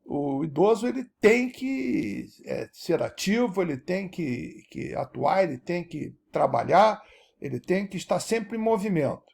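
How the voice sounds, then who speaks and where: A man, giving a lecture as an animated teacher who keeps checking that his audience follows. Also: high-pitched, unhurried, gravelly, breathy edge. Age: 70s. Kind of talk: recorded university-style lecture.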